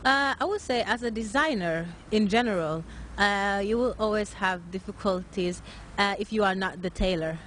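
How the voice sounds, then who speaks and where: A woman's voice: medium pitch, normal speed, a bit bright, a little hiss or hum. Hesitant nineteen-year-old woman from Norway. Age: late teens. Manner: hesitant, many filler sounds, earnest. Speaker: interviewee, young fashion designer. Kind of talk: interview answers.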